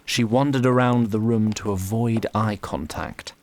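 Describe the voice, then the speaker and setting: A man narrating a scripted fantasy story in a measured, literary manner. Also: on the low side.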